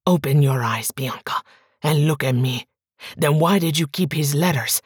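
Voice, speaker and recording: A woman voicing a suspicious, jealous man who speaks in slow, low, quiet threats. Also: husky, dry recording, clean studio sound. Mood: menacing. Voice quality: low, quiet, sometimes whispered.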